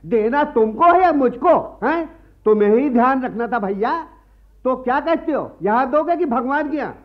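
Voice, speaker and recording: An actor playing a greedy, wily priest and moneylender in a radio play, sanctimonious and wheedling. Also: male voice, very high voice, animated, moderate pace. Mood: insistent, callous. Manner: sanctimonious, wheedling, repeats a pious catchphrase. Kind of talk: Hindi radio play acting. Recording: muffled archival radio play recording.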